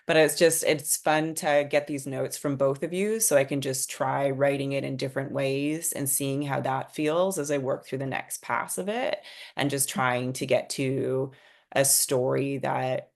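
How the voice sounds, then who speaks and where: Very low, creaky-voiced woman. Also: steady tone, a little slow. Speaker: writer. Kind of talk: casual reflective discussion.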